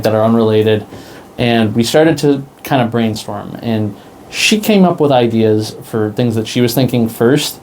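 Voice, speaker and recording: A man explaining things casually as an interview guest, in a halting, rambling way. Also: on the low side, a little mellow, slight background noise, poor audio. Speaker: interview guest, podcast creator. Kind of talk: casual conversation.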